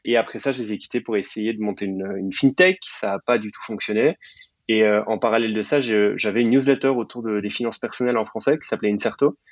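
A man's voice: lowish voice, steady tone, quick pace, no room sound, dull audio. A young man from Belgium and Sicily talking casually as an interview guest, informally mixing in English jargon. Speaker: podcast guest, startup programme manager.

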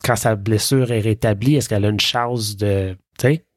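A man's voice: fairly low pitch, fairly even intonation, normal speed, dry recording, studio quality. A man with a Quebec French accent speaking casually as the host of a sports podcast.

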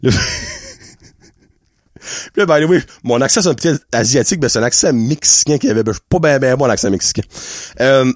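Québécois man, 30s, casual podcast storyteller; lively swooping pitch, dry close sound. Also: a little fast, long pauses, no room sound. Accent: Québécois French. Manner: animated, laughing, mixes in English words. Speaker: podcast host.

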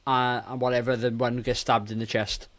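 A man's voice: medium pitch, flat delivery, fairly quick, a bit bright. A man in his forties co-hosting a film review podcast in a casual, conversational style. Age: 40s. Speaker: podcast co-host.

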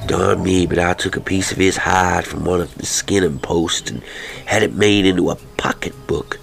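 A man voicing a folksy, jovial frontiersman in an audiobook, hearty and slangy, rough but kind-hearted. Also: low voice, normal speed. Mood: grim, boastful. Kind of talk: audiobook character voice.

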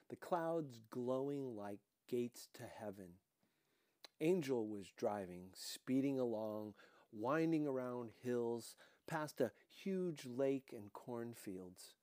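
A man narrating a novel as an audiobook, with deliberate, dramatic phrasing. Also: medium pitch, warm tone, dry recording, clean studio sound. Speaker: audiobook reader. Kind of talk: expressive scripted narration of a novel.

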